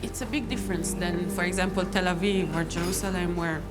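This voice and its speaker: A woman from Israel, talking thoughtfully in a documentary interview, often pausing to search for words. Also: fairly low pitch, steady tone, loud music behind the voice. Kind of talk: reflective personal testimony.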